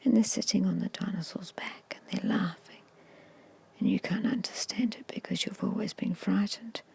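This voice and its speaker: A woman whispering a guided meditation in a slow, soothing, hushed storytelling manner, close to the microphone. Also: medium pitch, dry recording. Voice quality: breathy whisper. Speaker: hypnosis guide.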